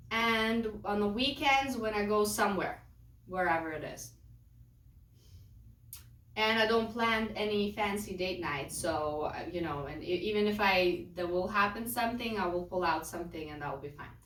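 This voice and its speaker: A mom vlogger from Lithuania, speaking English as a second language in a rambling, casual chat with quick restarts. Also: female voice, medium pitch, fairly bright tone, echoey room, far from the mic, low-quality recording. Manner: rambling, quick restarts, non-native phrasing. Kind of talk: casual chatty vlog.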